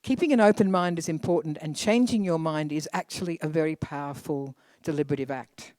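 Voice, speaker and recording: A facilitator calmly briefing a group, speaking in an instructive way. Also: medium pitch, a little fast, dry recording. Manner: calm, instructive.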